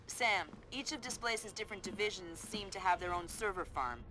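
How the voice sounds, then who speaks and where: A youthful female hacker-analyst played by an actress in a spy video game, with a mid-pitched voice. She briefs in an informal, playful way under loud music. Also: normal speed, close to an everyday voice, low-quality recording. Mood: helpful, briefing. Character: young, perky female tech analyst guiding a spy.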